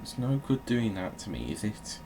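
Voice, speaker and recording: A man's voice: lowish voice, sing-song, moderate pace. A man giving casual video game commentary, swearing and grumbling jokily as he plays. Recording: clearly noisy background.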